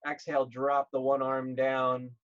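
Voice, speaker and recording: A man leading a guided yoga class, speaking gently and calmly in short, measured phrases with pauses. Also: medium pitch, lo-fi sound. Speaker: yoga instructor.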